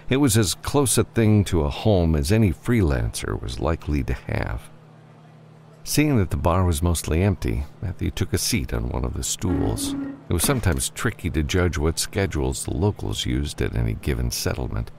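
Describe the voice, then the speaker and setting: A man's voice: a bass voice, creaky, a little mellow, slight background noise. A man reading a science fiction story aloud as a scripted audiobook narration.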